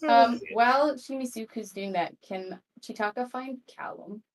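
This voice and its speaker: A woman's voice: fairly high pitch, normal speed, low-quality recording. A woman playing in a tabletop roleplay game, chatting casually. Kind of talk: casual online roleplay chat.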